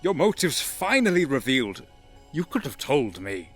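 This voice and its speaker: A man's voice: medium pitch, very animated, normal speed. Man acting a grave, wary elven warrior. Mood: questioning. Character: male Eldar warrior companion, wary, awestruck, questioning. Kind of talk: scripted audio drama acting. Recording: music under the voice. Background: music.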